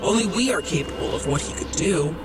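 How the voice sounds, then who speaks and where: A man's voice: high-pitched, lively intonation, a little fast. A man in a radio drama playing a weary, blunt, exasperated agent who bends reality for his creator. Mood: frustrated. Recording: echoing space, loud music underneath.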